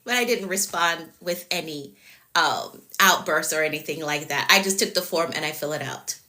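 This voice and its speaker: Bright-voiced Jamaican woman coach. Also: sits low, normal speed. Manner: repeats key points, addresses viewers by name. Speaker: productivity coach. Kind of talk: live-stream coaching talk to viewers.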